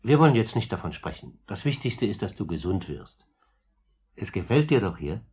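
An actor playing a kindly old gentleman in a 1950s German radio play, speaking in a gentle, fatherly, soothing way. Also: lowish voice, very animated, normal speed. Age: older. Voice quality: husky, breathy.

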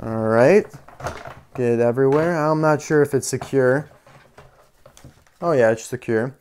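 A man's voice: mid-range voice, unhurried, a little mellow, slight background noise. A man giving casual, unscripted hands-on toy commentary, chatting and thinking aloud as he goes. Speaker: toy reviewer. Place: indoor room full of toy displays.

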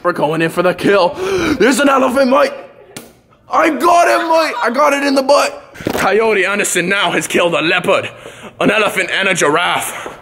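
A man playing a brash, bumbling male wildlife poacher in an improvised comedy skit, excitable and tacking "mate" onto everything. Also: high voice, normal speed, vocal fry, a little hiss or hum, heavily acted. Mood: boastful. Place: school building, indoors. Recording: echoey halls with crowd chatter. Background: students chattering.

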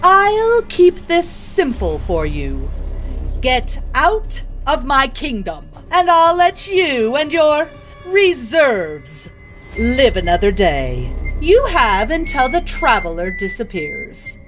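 Stern lioness queen for an animated dub, played by a woman, measured and regal, wide-ranging intonation, soft music underneath. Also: high-pitched, heavily acted. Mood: stern, commanding. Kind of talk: comic dub character acting.

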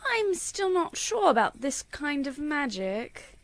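Woman as high, measured, proud cartoon queen. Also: sing-song, no echo, full character voice. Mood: doubtful. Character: proud, protective queen and mother. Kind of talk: fan comic dub voice acting.

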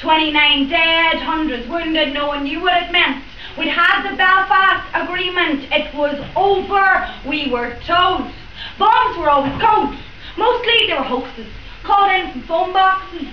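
A woman's voice: extremely high pitch, measured pace, slight background noise. A young woman from Northern Ireland with a Northern Irish accent, performing spoken word poetry in a library with a chatty, joking introduction. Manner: chatty and joking between rhyming verses. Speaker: performance poet. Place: library event room. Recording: roomy, distant, dull room recording.

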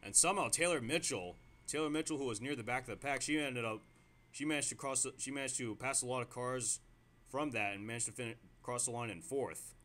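A man giving play-by-play commentary on a simulated stock car race, getting excited at close moments and restarting sentences with fillers. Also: mid-range voice, brisk. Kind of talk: amateur sim racing play-by-play commentary.

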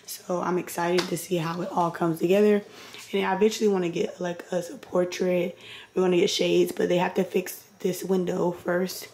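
A woman in her twenties with a sick, hoarse, partly lost voice, chatting casually to vlog viewers. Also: on the low side, flat delivery, moderate pace, a little mellow. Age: 20s. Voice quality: hoarse, breaking into whisper. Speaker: vlogger. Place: indoors, mostly a bedroom at home. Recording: clearly noisy camera audio.

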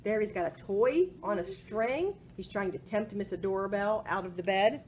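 A woman in her forties reading a picture book aloud to children in an expressive way, with asides about the pictures. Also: medium pitch, normal speed. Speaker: library storytime presenter. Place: small indoor room with bookshelves. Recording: home recording with faint background noise.

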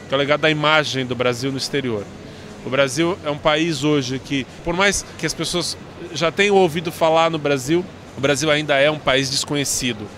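A Brazilian man with a fairly high voice gives a formal interview answer. He speaks in a measured way, feeling for his words, into a handheld mic amid faint fair noise. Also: a bit bright. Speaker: tourism board director, interview guest. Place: busy indoor trade fair booth. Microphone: handheld interview microphone. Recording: clear background noise at trade fair.

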